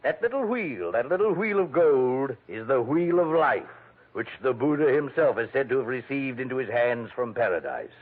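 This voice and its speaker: A man's voice: medium pitch, very animated, normal speed. An actor playing a pompous, genteel, scheming male villain with florid, effusive phrasing in a 1940s radio drama. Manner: florid, effusive, chuckling, hesitant polite phrasing. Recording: tinny band-limited old radio recording.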